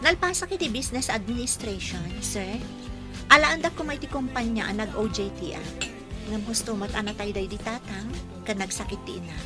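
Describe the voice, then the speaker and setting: A woman's voice: mid-range voice, brisk, natural voice. A woman with an Ilocano accent acting in a radio drama as a young housekeeper, polite but proudly defiant. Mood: polite, earnest. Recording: dramatic music bed behind voice.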